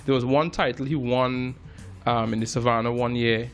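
A man's voice: mid-range voice, normal speed, soft background music. A man in his thirties from Trinidad and Tobago, a jazz musician telling stories in a casual interview with many fillers and restarts. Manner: relaxed storytelling with frequent fillers and restarts. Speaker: jazz musician and filmmaker, guest.

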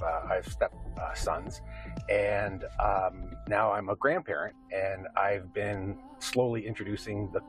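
A man's voice: fairly low pitch, poor audio. A middle-aged man with a Canadian accent, answering interview questions in an earnest, rambling conversational way.

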